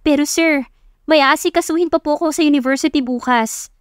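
A woman's voice: high voice, a little fast, dry recording, studio quality, pitched above the performer's own voice, natural voice. A woman voicing an earnest, respectful young college student, a dutiful daughter, in an audio love story. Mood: hesitant, protesting.

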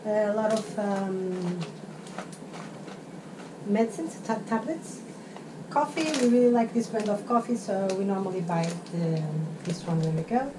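A woman's voice: medium pitch, measured pace, recorded from a distance, noisy background. A middle-aged woman chatting casually in a sailing vlog, showing things to a handheld action camera. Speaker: sailing vlogger. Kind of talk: casual travel vlog chat. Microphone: action camera held close.

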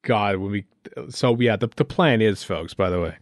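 A man's voice: fairly low pitch, moderate pace, no room sound, clean studio sound. A man chatting casually and thinking aloud as a podcast host. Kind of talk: casual fan commentary chat while watching a show.

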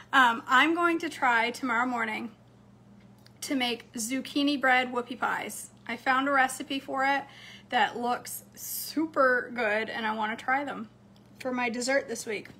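A woman, chatting casually to her viewers from a home kitchen, slightly hoarse. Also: a little high, sharp tone, a little hiss or hum. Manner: chatty, upbeat, talks straight to camera. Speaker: cooking vlogger. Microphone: phone camera close up on a counter.

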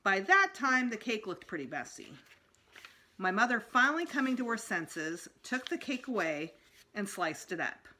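A woman's voice: medium pitch, sing-song, moderate pace, pushed and bright. A middle-aged woman reading a children's book aloud expressively, as for a school storytime. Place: small indoor room at home.

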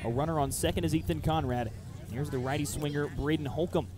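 Male, fairly high voice, live radio baseball play-by-play, clipped descriptive phrasing, ballpark broadcast. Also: brisk, over music.

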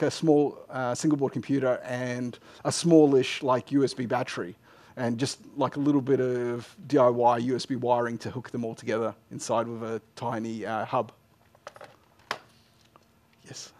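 A middle-aged man answering technical questions informally as a conference speaker, in a halting way with frequent false starts. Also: mid-range voice, little pitch movement. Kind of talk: informal technical Q&A after a talk. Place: indoor lecture room, at a lectern. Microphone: headset microphone.

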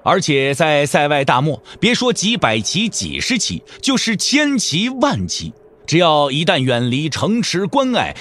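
A male narrator tells a martial-arts story in a dramatic, scripted style. His voice sits a little high and moves in lively swings of pitch.

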